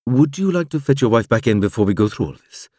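A male narrator plays a kindly hospital consultant in an audiobook. The delivery is polite and careful, with hesitant, awkward turns and a pitch that swings up and down. The sound is dry. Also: expressive, fast talker, no room sound, natural voice. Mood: gentle, grave. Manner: gentle, hesitant, stumbling politeness. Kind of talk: audiobook character dialogue.

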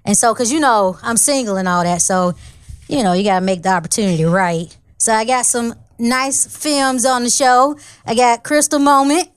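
Playful woman host with a smooth voice. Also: on the high side, moderate pace, dry recording, clean studio sound. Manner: loud and playful, laughs easily. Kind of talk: casual chat interview.

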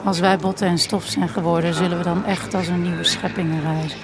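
An actress playing a grieving daughter who narrates an audio drama in a measured, intimate, solemn way. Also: female voice, on the low side, level tone, vocal fry, over music. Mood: troubled, intimate. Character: grieving daughter narrating her dying mother's last days. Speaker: narrator actor. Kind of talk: literary first-person audio drama narration.

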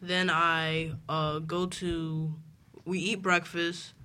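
A twelve-year-old boy answering interview questions casually, haltingly and earnestly rephrasing as he goes. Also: on the high side, level tone, sharp tone, clear, resonant tone. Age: twelve years old. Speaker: homeschooled student guest.

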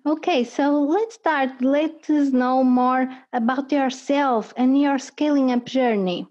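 A woman hosting an interview, asking her questions at a slow, unhurried pace. Her voice is mellow and fairly high, and the recording sounds dull and lo-fi. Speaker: host.

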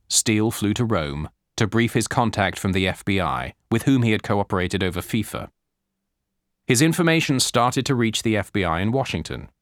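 A man reading nonfiction as an audiobook narrator, measured and clearly articulated, in a scripted style. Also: on the low side, fairly bright tone, dry recording, clean studio sound. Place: dry studio recording.

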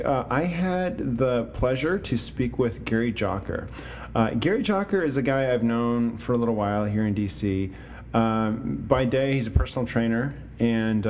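A man's voice: lowish voice, slight background noise. A man hosting a podcast, talking casually with lots of filler words and asking easygoing interview questions. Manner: relaxed, many filler words. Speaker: podcast host.

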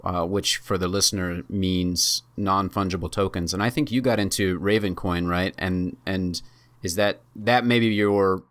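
Low, dry-sounding man asking interview questions. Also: fairly even intonation, unhurried, fairly bright tone, no echo. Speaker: podcast co-host. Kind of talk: conversational interview.

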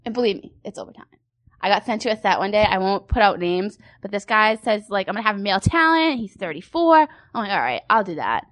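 A woman's voice: mid-range voice, very animated, fast talker, fairly bright tone. A woman in her twenties, a guest in a casual interview, talking bluntly and crudely with frequent laughs. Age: 20s. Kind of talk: candid casual interview chat.

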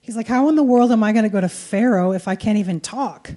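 A woman's voice: medium pitch, fast, warm tone. A woman preaching a sermon in short phrases, in a conversational, colloquial way. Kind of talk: sermon delivered in short phrases for live interpretation.

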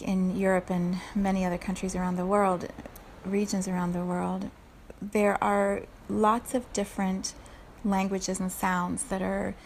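Woman, 40s, from South Africa; calm reflective solo talk, even and flat in pitch, gravelly texture. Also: a little hiss or hum. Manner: unhurried, thoughtful, laughs softly at herself.

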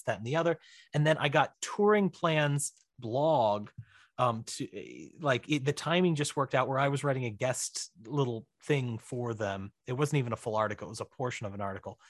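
A man's voice: mid-range voice, no echo, studio quality. A man talking casually as a guest in a podcast interview, explaining things chattily with plenty of asides. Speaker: interview guest, writer-director.

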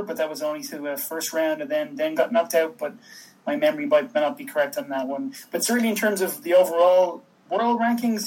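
A man from Dublin with an Irish accent, talking as an interview guest in a tentative way with frequent pauses. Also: a little high, a little mellow, low-quality recording. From Dublin, Ireland. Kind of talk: conversational podcast interview.

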